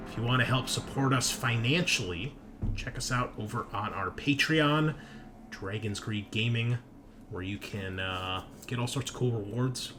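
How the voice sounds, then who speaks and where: A man's voice: lowish voice, background noise. A man hosting a tabletop roleplaying show with casual, chatty, informal intro banter and some swearing. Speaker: host and game master of a tabletop show. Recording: music playing behind the voice. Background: music.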